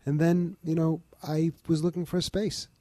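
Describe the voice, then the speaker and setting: Older Bronx-raised man, steady interview guest, warm and close. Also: a little high, no room sound. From the Bronx, New York. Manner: measured, informative, lists details. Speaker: museum founder, guest. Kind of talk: interview conversation.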